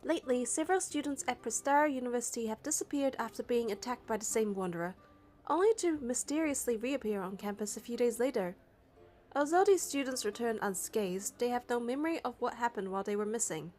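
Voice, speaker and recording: Female narrator, high voice, scripted game story reading with music underneath. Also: lively intonation, fairly quick, darkish tone. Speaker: narrator and reader of a game playthrough. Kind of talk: scripted story narration with dialogue read aloud. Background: background music.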